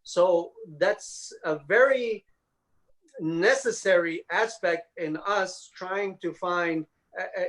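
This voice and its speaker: A man speaking as a religious lecturer, explaining points in a measured way with halting phrases, as in a scholarly talk and question session. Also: on the high side, low-quality recording.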